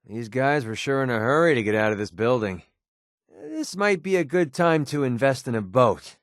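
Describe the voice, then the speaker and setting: A young American mercenary from a wasteland video game, acted by a man, with a mid-pitched voice recorded very dry. He is sardonic and colloquial, a wisecracking companion who talks in a natural, conversational way. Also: animated, normal speed, no echo, close to an everyday voice. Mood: wry, sarcastic. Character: wry, sarcastic young male wasteland mercenary.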